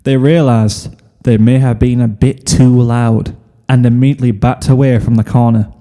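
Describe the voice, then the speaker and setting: A man's voice: medium pitch, steady tone, normal speed, dark tone. A man reading a story aloud as a scripted narration, in the role of fan fiction narrator. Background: music.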